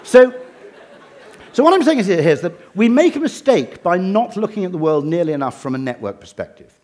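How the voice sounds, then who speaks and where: A man's voice: high-pitched, big pitch swings, a little fast, darkish tone, some chatter behind. A middle-aged English man giving a humorous talk, with dry wit and ironic asides. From England. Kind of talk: humorous lecture.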